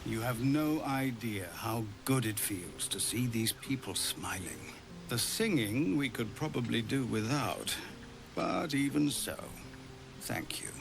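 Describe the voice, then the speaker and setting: Gravelly, slow-spoken guardsman. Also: medium pitch, expressive, over music. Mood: relieved, grateful. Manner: measured, sober. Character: weary, grateful male guardsman leader. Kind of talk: video game dialogue acting.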